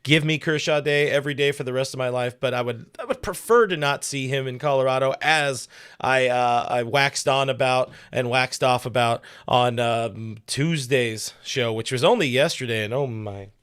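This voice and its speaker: A man with a smooth, resonant voice co-hosts a casual sports podcast. He jokes around and chats loosely, dropping plenty of filler words between thoughts. His pitch sits right in the middle for a man. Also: normal speed, a bit bright. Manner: joking, chatty, many filler words. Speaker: podcast co-host.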